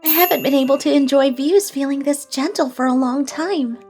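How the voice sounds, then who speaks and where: High-pitched gentle young woman, troubled female actor. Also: moderate pace, faint music behind, close to an everyday voice. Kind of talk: English manga video dub dialogue.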